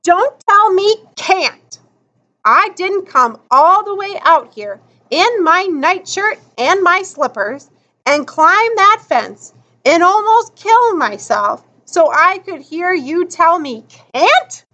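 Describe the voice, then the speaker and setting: A woman voicing a bossy, exasperated, sharp-tongued girl in a storybook reading, scolding with emphatic, colloquial phrasing. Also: extremely high pitch, sing-song, takes it slowly, pitched above the performer's own voice, full character voice. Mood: angry, bossy. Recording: dull lo-fi audio.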